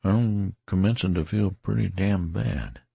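A man reading a weary wounded soldier in an audiobook, with a rustic, rambling drawl and a creaky, husky voice. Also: sits low, unhurried, mellow, no room sound, pitched above the performer's own voice, heavily acted.

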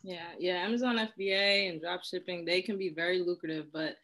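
A woman's voice: lowish voice, fairly even intonation, fairly quick, creaky, fairly bright tone. A young woman hosting a casual, conversational interview over a video call from a room at home. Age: young adult. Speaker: interviewer, host.

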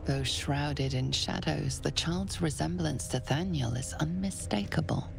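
A woman playing a grave, observant narrator in a fantasy game, with slow scripted delivery. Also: low-pitched, gravelly, exaggerated voice. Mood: hushed foreboding.

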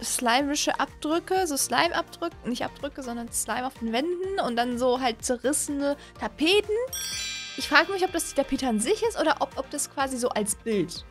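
A woman in her twenties giving casual, excited reaction commentary as a gaming host, exclaiming and repeating herself into a close desk mic. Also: high-pitched. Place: small home streaming room, indoors. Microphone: desk condenser microphone, close.

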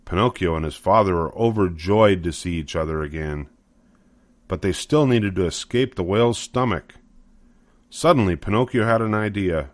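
Low-voiced man reading a children's story. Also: moderate pace, no echo. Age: older. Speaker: storybook narrator. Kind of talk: scripted children's story narration.

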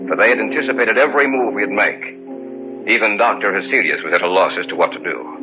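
Actor as a deep-voiced somber radio storyteller. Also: male voice, fast talker, natural voice. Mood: brooding. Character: serious male narrator-hero recounting an eerie tale. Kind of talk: old-time radio drama narration. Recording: muffled vintage radio recording with music.

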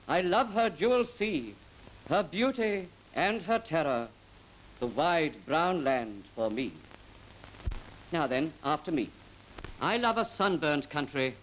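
Slow, expressive schoolmaster on old radio. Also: male voice, a little high, low-quality recording. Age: middle-aged. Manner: deliberate, formal, careful diction. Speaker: radio teacher. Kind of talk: reciting poetry for a speech lesson. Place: small radio studio. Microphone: desk microphone. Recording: hissy 1940s film soundtrack.

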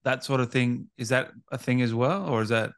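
A man hosting a casual interview, chatting informally and jumping in with questions and quick agreement. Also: medium pitch, smooth. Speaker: interviewer, gaming channel host.

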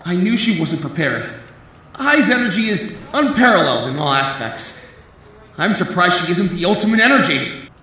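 Casting: a man as wry young anime inspector's inner monologue, heavily echoed, highish male voice. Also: sing-song, moderate pace, pushed and bright, full character voice. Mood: wry, amused. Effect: echo on thought voice. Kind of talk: anime-style audio drama inner monologue. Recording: heavy echo, faint noise.

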